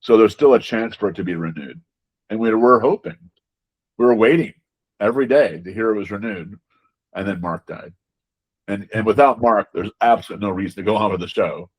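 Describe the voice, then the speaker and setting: Low-voiced man explaining in a casual interview. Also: breathy edge, poor audio. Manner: halting, repeats words while explaining. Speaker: interviewed game designer.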